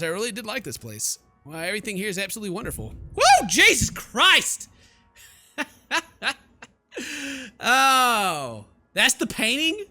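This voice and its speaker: Bright, high-voiced man doing let's play commentary. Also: no echo. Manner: animated, jokey reactions. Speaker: gaming streamer. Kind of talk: let's play commentary, reading game narration aloud.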